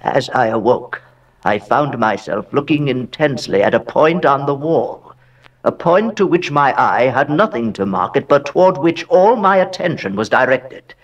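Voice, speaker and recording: A man's voice: mid-range voice, animated, highs cut off, natural voice. Man playing a theatrical, distant-sounding haunted Gothic heir. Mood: uneasy, dreading. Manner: theatrical, deliberate, with dramatic pauses. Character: haunted aristocratic male heir recounting Gothic horror, dread-filled. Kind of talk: dramatic horror narration, audio drama monologue.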